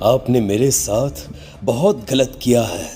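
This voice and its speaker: A man's voice: mid-range voice, slow, dark tone, lightly acted. A man voicing the mournful, reproachful ghost of a teenage boy in a horror cartoon.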